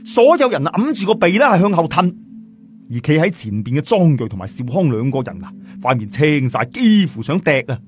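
Male Cantonese-accented storyteller, animated and colloquial, swooping intonation, music underneath. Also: on the high side, a little fast. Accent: Cantonese, southern Chinese. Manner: colloquial, expressive traditional storyteller delivery. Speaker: audiobook storyteller. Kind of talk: animated scripted storytelling narration. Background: background music.

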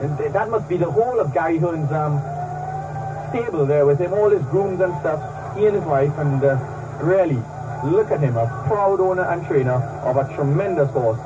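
A man calling a horse race in rapid, clipped commentary. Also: a little high, expressive, distant mic, noisy background. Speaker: race caller.

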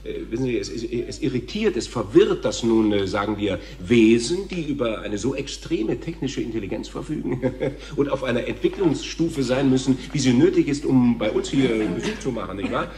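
An actor playing a stammering, self-important and patronizing television talk show host in a radio play. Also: male voice, medium pitch, expressive, normal speed, a little mellow, close to an everyday voice. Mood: flustered. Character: flustered, smug male TV talk show host. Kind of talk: German radio play acting.

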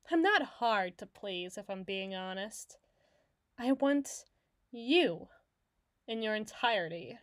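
A woman's voice: mid-range voice, dry recording, studio quality. A woman voicing an obsessive, masochistic lovesick girl in a theatrical audio roleplay, needy and taunting. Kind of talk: dramatic ASMR roleplay monologue.

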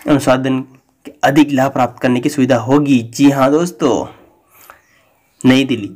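A man hosting a news channel, reading a bulletin aloud in a flat, halting way. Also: mid-range voice.

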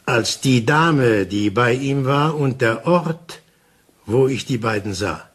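A methodical older detective in a crime radio play. His husky, mid-pitched voice lays things out calmly and insistently, pausing for effect. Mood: reflective, deliberate. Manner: deliberate, dramatic pauses, explanatory.

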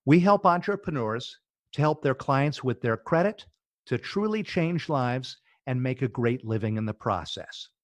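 A man's voice: mid-range voice, animated, a little slow, no room sound. A middle-aged man, a business founder, talking eagerly as a podcast guest and often restarting his words. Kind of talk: casual interview talk.

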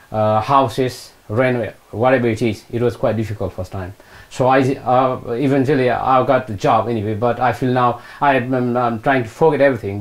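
Halting middle-aged Nepali-accented man. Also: mid-range voice, low-quality recording. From eastern Nepal. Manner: hesitant, halting, repeats phrases. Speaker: interviewee, retired British Army Gurkha. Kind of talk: oral history interview, personal recollection. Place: small room indoors, seated on a sofa. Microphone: lapel mic.

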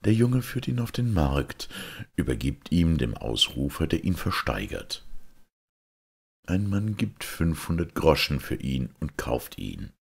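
A man reading a fairy tale aloud as scripted narration, with expressive storytelling delivery. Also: a bass voice, measured pace, creaky, breathy edge. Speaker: audiobook reader.

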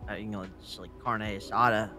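A man roleplaying a witness in a mock courtroom, giving hesitant, halting answers full of uhs. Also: on the low side, steady tone, pushed and bright, soft background music. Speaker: roleplay player acting as a witness. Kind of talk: casual in-character testimony.

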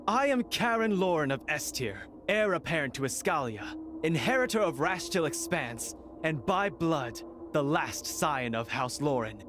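An actor playing an arrogant, mocking noble heir in a video game, with theatrical, smug sarcasm. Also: male voice, on the high side. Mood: haughty.

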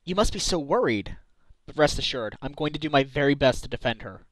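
A man's voice: on the high side, expressive, a little fast, fairly bright tone, rough recording. A man voicing an earnest, caring defense lawyer in a video game fan dub, with an inquisitive manner. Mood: reassuring. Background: game music.

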